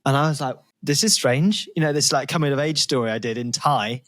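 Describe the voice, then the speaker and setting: A man's voice: mid-range voice, brisk, fairly bright tone. A man co-hosting a casual interview, talking in an effusive, exclaiming way. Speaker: podcast co-host, interviewer.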